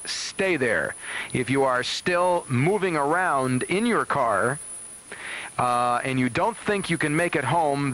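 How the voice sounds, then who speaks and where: A man's voice: fairly high pitch, a bit bright. An American man giving emphatic live emergency announcements on 1970s radio, repeating key points. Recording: 1970s radio aircheck on cassette.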